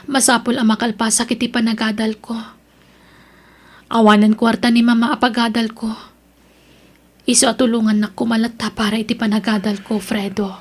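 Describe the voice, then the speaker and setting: Casting: actress for distraught young woman, audio drama, high voice, pleading and emotional, music underneath. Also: level tone, moderate pace, long pauses, lightly acted. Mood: earnest. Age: young adult. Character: distraught young woman student, pleading, torn between lovers. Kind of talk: Ilocano audio drama acting.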